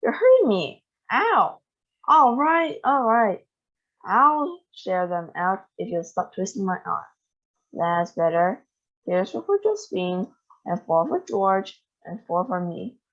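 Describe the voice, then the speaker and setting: A woman's voice: fairly low pitch, very animated, unhurried, lo-fi sound. A woman doing a cross, complaining old granny. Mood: grudging. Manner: crying out in complaint.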